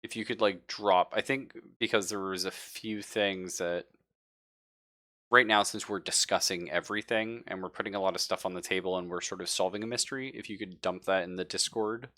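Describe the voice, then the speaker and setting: A man's voice: sits low, fairly even intonation, a little fast, bright, forceful tone, no echo, studio quality. A man acting as game master in a casual live tabletop roleplaying session, easygoing and encouraging as he thinks aloud.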